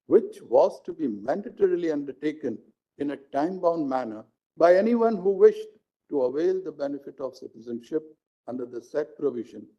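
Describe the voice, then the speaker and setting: A man with an Indian accent, a judge reading out a legal judgment in formal, deliberate phrasing. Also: fairly high pitch, expressive, warm tone. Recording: dull lo-fi live stream audio.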